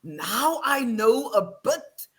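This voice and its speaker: A middle-aged woman from the Western Cape with an Afrikaans South African accent, telling her story in animated casual talk on a video call. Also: a little high, slow pace, slightly rough. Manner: animated, repeats phrases, laughs often. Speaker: guest, online English teacher.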